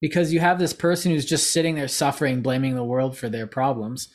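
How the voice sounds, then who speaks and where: A man's voice: mid-range voice, flat delivery, fairly quick. A man co-hosting a casual podcast chat, talking in long, digressive runs and laughing at himself as he loses his thread. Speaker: podcast co-host.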